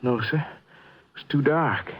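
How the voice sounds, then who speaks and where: A plainspoken rancher in a vintage radio drama. His voice sits at a middle pitch with a folksy, dismissive manner, on a dull old recording. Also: slow pace. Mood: groggy, recounting. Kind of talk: 1950s radio acting.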